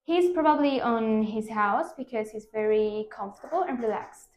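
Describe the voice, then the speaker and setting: Young woman student with a high voice. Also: lively intonation, normal speed, a little mellow. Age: 20s. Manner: careful, hesitant answers. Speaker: student, exam candidate. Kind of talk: practice exam answers. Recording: echoey room.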